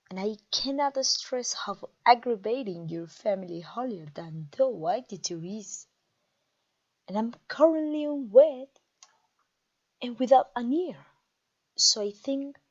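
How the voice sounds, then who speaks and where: A woman playing a haughty, mocking vampire queen in a theatrical ASMR roleplay, pompous and teasing, with drawn-out phrasing. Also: fairly high pitch, dull audio. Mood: scornful, gloating. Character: haughty, mocking, conquering vampire queen taunting her captive. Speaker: audio roleplay voice actor.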